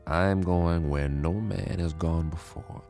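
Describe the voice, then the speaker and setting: An actor playing a breezy, confident, eager man in a radio drama, with an excitable, halting way of talking. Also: low voice, gravelly, faint music behind, heavily acted. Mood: upbeat. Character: easygoing, eager male government codebreaker, breezy and confident.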